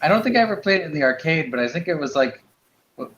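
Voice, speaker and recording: A man's voice: a little high, animated, quick pace, a bit bright. A middle-aged man chatting casually and reminiscing in an informal way. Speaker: podcast co-host. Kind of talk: casual chat. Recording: roomy, slightly muffled sound.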